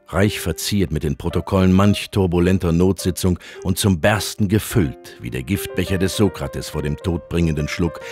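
An actor playing a solemn, pompous art critic narrating a parody documentary in florid phrases. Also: male voice, sits low.

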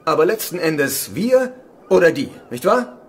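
Ruthless gang boss, animated higher voice. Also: male voice, measured pace, slightly rough, exaggerated voice. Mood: cold, calculating. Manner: commanding, cynical. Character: ruthless male gang boss, cold and commanding. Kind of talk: radio drama acting.

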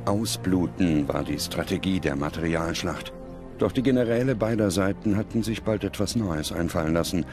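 A man reading scripted documentary narration in a measured, dramatic manner. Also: low-pitched, music in the background.

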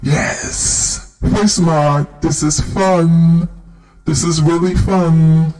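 A woman voicing a Titan through a voice changer that pitches her down, playful and drawn-out. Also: fairly even intonation, darkish tone, poor audio. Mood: amused, playful. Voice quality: deep, processed. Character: deep, slow Titan from a voice changer.

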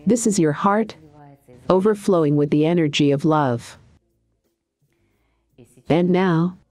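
Low-pitched woman guiding a meditation. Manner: calm, deliberate, soothing instruction with pauses. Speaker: meditation guide and coach. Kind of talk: guided meditation narration.

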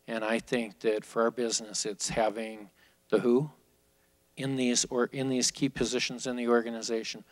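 Executive, male, 50s, mid-pitched, loose unscripted delivery on a stage handheld mic. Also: little pitch movement, moderate pace. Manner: candid, off-the-cuff, with frequent restarts. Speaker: company executive speaking at a business school event. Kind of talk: conversational onstage Q&A. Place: large auditorium stage, seated.

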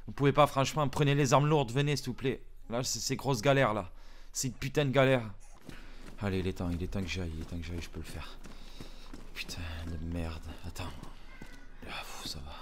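A man voicing a panicked young male smuggler hiding from police, swearing constantly, stammering and often dropping to hushed whispers. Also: deep voice, moderate pace, background noise, pitched below the performer's own voice, natural voice. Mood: panicked pleading. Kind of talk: improvised roleplay acting.

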